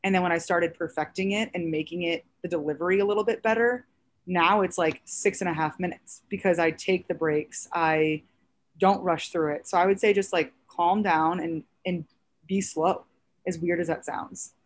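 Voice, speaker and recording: A woman around thirty with a raspy voice, a stand-up comedian chatting casually as a podcast guest with lots of fillers. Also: fairly low pitch, normal speed. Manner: chatty, animated storytelling with many fillers.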